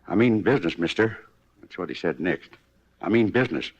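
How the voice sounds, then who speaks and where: An actor playing a talkative, homespun cafe owner from Kansas who was shot, in a 1950s radio drama. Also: male voice, deep voice, expressive, fairly quick, husky. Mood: folksy. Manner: rambling, homespun, plain-spoken. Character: folksy, talkative wounded cafe owner, former pitcher. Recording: dull vintage 1950s radio broadcast.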